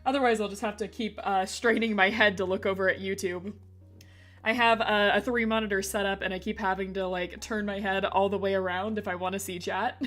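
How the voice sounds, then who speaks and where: Woman, slightly hoarse, bright and forceful tone, chatty giggly streamer, faint music behind. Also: medium pitch. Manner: chatty, giggly, talks to chat. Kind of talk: casual live-stream chat.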